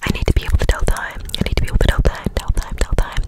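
Whispering ASMR creator repeating trigger words. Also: racing. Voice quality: whispered, breathy, unvoiced. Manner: repeats words and phrases over and over. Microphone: close ASMR microphone.

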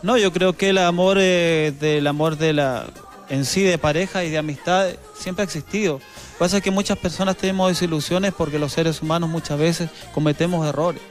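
A man in his thirties with a Chilean accent, a guest singer chatting earnestly on a TV studio set into a handheld mic, with some halting restarts. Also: on the high side, steady tone, faint music behind. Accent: Chilean Spanish. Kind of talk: casual chat.

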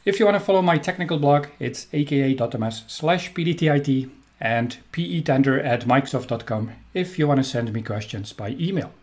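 A man's voice: medium pitch, lively intonation, fairly quick. A middle-aged man from Belgium with a Belgian accent, giving an enthusiastic recorded technical presentation as a trainer, with frequent ums. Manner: upbeat, enthusiastic, with frequent um fillers.

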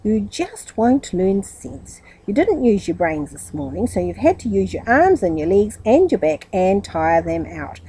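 A woman reader plays an exasperated aunt from a children's storybook. She scolds firmly and emphatically in a husky voice, with animated, swinging pitch. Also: measured pace, hardly any pauses, rough recording. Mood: firm, scolding. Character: stern, exasperated aunt scolding her silly nephew.

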